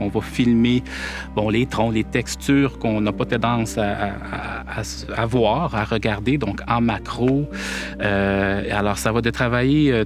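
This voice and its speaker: Thoughtful Québécois man over piano music. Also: mid-range voice. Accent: Québécois French. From Quebec. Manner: thoughtful, searching for words with frequent pauses. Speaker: pianist-composer. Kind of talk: casual talk to camera. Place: indoors at home.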